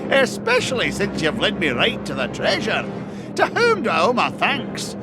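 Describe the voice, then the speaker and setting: A man's voice: high-pitched, wildly swooping pitch, moderate pace. A man voicing a scheming old rival duck billionaire, a taunting, theatrical cartoon game villain. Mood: smug, taunting. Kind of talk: animated game voice acting. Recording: loud background music under the voice.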